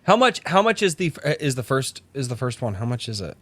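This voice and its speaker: A man hosting a casual podcast, chatting with excitable enthusiasm. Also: mid-range voice, fast talker. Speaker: podcast host.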